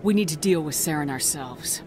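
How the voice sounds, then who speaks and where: A woman's voice: sits low, normal speed, noisy background, close to an everyday voice. A woman playing a determined military commander in a video game, speaking in a firm, direct, clipped way. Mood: defiant, resolute. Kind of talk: video game cutscene acting. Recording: music behind game dialogue.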